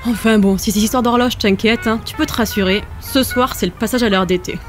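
A woman's voice: fairly high pitch, quick pace, faint music behind, lightly acted. A woman playing a teasing, flippant wife in a comic audio drama, with a sardonic, nonchalant way of talking.

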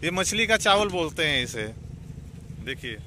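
A man's voice: on the high side, lively intonation, normal speed, pushed and bright. A man vlogging on location, asking curious questions, explaining to viewers and repeating answers back, recorded outdoors on a handheld phone. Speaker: vlogger interviewing fishermen. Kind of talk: casual on-location vlog commentary with questions. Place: outdoors on fishing boats at a harbour. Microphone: handheld phone, behind the camera. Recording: noisy outdoor phone recording, engine and harbour ambience.

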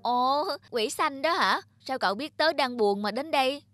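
A man's voice: extremely high pitch, expressive, moderate pace, bright, forceful tone, no room sound, heavily acted. A man playing a lonely, kind-hearted big red demon in a cartoon, with an earnest, emphatic delivery. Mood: sad. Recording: music under the dialogue.

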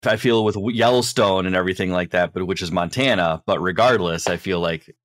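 A man hosting a relaxed podcast interview, talking in a very low voice. He chats loosely, rambles and often restarts his sentences. The sound is dry and close. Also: fairly quick, dry recording. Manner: chatty, rambling, with repeated restarts. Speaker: podcast host. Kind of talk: casual interview.

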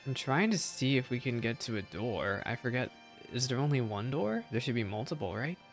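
A man's voice: mid-range voice, animated, fairly quick. A young adult man giving casual livestream commentary on a video game. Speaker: game streamer. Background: game music.

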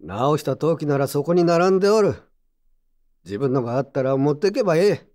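Guy doing a gruff old pottery mender, country old-timer talk, slightly high for a man, radio drama. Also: animated, fairly quick, darkish tone, natural voice. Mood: gruff, matter-of-fact. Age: older. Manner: old-fashioned rustic elderly speech.

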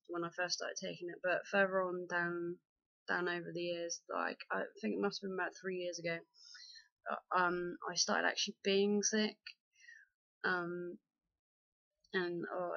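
Young vlogger, gravelly fry, dull dry webcam sound, rambly casual talk. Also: male voice, high voice, a bit bright, no room sound. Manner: rambling, many fillers and restarts. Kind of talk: casual personal chat to camera. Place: dim bedroom indoors. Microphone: webcam at close range.

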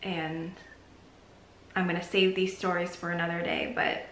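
A woman's voice: fairly low pitch, little pitch movement, a little slow. Chatty woman vlogging in an echoey bedroom. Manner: chatty and reflective, drifts into soft near-whispers. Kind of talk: casual confessional vlog. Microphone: camera mic at arm's length. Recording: echoey room with faint hiss.

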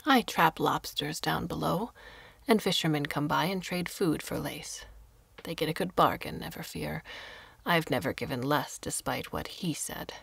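A woman voicing a tiny, grieving old lacemaker who lives alone, speaking in a hushed, sorrowful near-whisper. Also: low-pitched, unhurried, gravelly, breathy edge, dry recording, exaggerated voice. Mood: weary.

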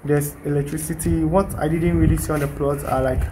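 A man's voice: on the high side, fairly even intonation, a little fast, dark tone, a little hiss or hum. A young man, chatting casually to his vlog audience, filmed on a phone held at arm's length outdoors at a building site, talking loosely with fillers and restarts. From Ghana. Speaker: vlogger.

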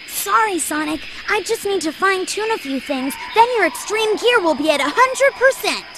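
A woman's voice: very high-pitched, lively intonation, moderate pace, noisy background. A woman voicing an earnest, eager young boy fox mechanic with a childlike voice in a video game. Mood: apologetic.

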